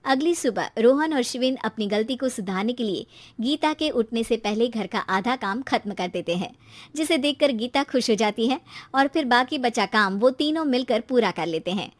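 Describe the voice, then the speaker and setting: High-pitched woman storyteller. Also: lively intonation, moderate pace. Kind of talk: scripted story narration.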